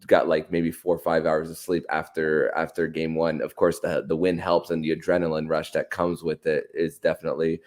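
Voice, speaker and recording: Male sports podcast host, low voice, fairly flat intonation, halting conversational analysis with repeated words. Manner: halting, stammering repetition of words.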